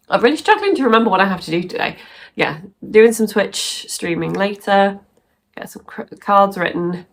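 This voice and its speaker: Woman, crafting vlogger, casual chat, bunged-up sniffly voice, rambling, gravelly fry. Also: fairly low pitch. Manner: chatty and rambling, laughs often. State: stuffy nose from sneezing.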